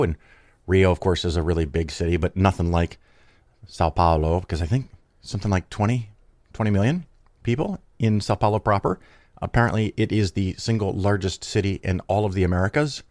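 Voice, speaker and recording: A man's voice: sits low, a little mellow, no room sound. A man hosting his own show and talking casually, rambling and correcting himself while he has a cold. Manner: rambling, self-correcting, chatty. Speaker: podcast host. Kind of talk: casual solo talk.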